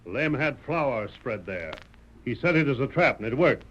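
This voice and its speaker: An actor playing a stern, blunt Old West sheriff with an American accent, commanding and accusing in radio western style. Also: male voice, mid-range voice, big pitch swings, normal speed, fairly bright tone, slight background noise. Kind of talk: 1940s radio western acting. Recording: muffled old radio recording.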